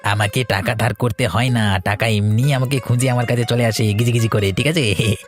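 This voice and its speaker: Cartoon crook played by a man: young, mid-pitched, boastful village bully with insolent menace, over music. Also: steady tone, moderate pace, close to an everyday voice. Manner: threatening, insolent, slangy. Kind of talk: cartoon folk-tale acting.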